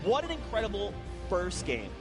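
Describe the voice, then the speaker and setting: A man calling a live esports match in a large arena, excitable and shouting at peaks, his voice going hoarse. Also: sits high, normal speed, music in the background. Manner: excitable, often shouting over the crowd. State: losing his voice. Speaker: esports caster.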